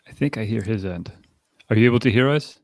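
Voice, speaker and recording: A man hosting a live podcast, explaining things in a measured way. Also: lowish voice, dry recording, clean studio sound. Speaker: podcast host.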